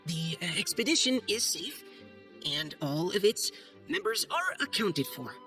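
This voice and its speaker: Timid, bright-voiced young knight. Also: male voice, sits high, huge pitch swings, measured pace, heavily acted. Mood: earnest, careful. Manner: hesitant, nervous, stammering, formal. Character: timid, earnest young male knight surveyor. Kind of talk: video game acting, reading a letter aloud. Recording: faint music behind.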